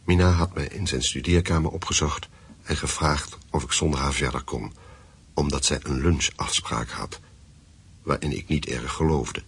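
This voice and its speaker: A man's voice: rumbling low, normal speed, creaky, fairly bright tone, husky, heavily acted. An actor playing a reflective, observant man who narrates his own story in a radio play, sober and introspective.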